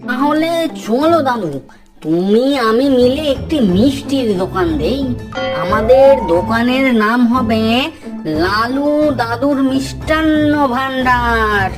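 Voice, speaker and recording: A woman's voice: fairly high pitch, slow pace. A woman voicing an eager, stubborn young village boy in a Bengali moral-story cartoon, polite and persuasive. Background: music.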